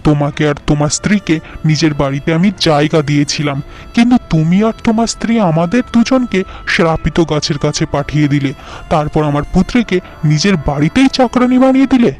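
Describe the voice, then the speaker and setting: Cartoon casting: wronged elder brother, acted by a man, reproachful, accusing, raised pitch, faint music. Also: brisk, a little mellow, close to an everyday voice. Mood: hurt, accusing.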